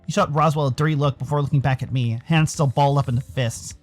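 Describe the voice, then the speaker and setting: An American man from Arizona giving theatrical, expressive narration of a visual novel as a storyteller. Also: mid-range voice, fast talker, soft background music. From Arizona, United States. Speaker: narrator and streamer.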